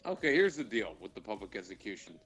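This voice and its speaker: A man's voice: fairly low pitch, very animated, quick pace, fairly bright tone, soft background music. A man co-hosting a casual talk show, giving his opinions in a blunt, emphatic way full of swearing. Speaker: podcast co-host. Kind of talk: casual, heated opinion chat.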